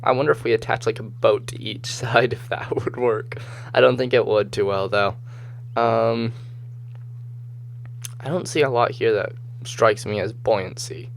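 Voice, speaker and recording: A man with a slightly hoarse, just-woken voice gives casual gameplay commentary, rambling and thinking aloud as he goes. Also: mid-range voice, fairly even intonation, a little slow, slight background noise. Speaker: gamer, host of a gameplay video series. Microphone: voice-over recorded over game footage.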